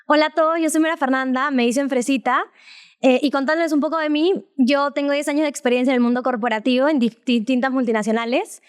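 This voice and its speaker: A woman's voice: high-pitched, steady tone, fast talker. A young woman co-hosting a podcast, chatting casually and telling a story with frequent laughs. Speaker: podcast co-host.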